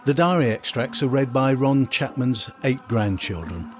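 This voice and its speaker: Male podcast narrator, scripted documentary delivery, medium pitch, music underneath. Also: expressive, normal speed.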